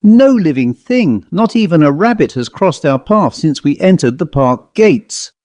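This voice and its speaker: A man's voice: a little high, big pitch swings, a little slow, darkish tone, no room sound, pitched above the performer's own voice. A man voicing a jovial, confident country squire in a Victorian ghost story, with hearty, exclamatory delivery. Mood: amused, dismissive. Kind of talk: audiobook character reading.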